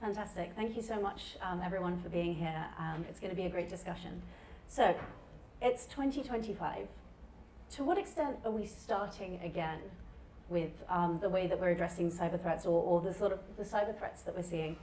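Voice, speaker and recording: A woman's voice: fairly low pitch, moderate pace, darkish tone. A woman moderating a live conference panel, introducing the session and putting questions to the speakers. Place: indoor conference session room. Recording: echoey room, distant mic, background noise.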